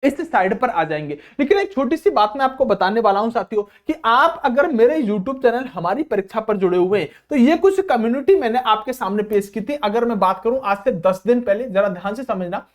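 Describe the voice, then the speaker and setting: A man speaking as an exam coach, addressing students informally and repeating phrases for emphasis. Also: very high voice. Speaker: exam coaching teacher and channel host.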